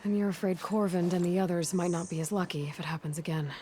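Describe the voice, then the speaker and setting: An actress playing a direct, inquisitive video game heroine who asks probing questions in a composed manner. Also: female voice, on the low side, fast talker, mellow, slight background noise, close to an everyday voice. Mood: focused, composed. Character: direct, inquisitive adult heroine investigating trouble. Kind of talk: scripted video game dialogue.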